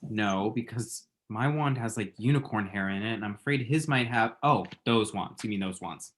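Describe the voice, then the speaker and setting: A man's voice: on the low side, normal speed, slight background noise, natural voice. An actor playing an awkward, wry, lovestruck young man in a screenplay table read, hesitant and self-deprecating. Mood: musing.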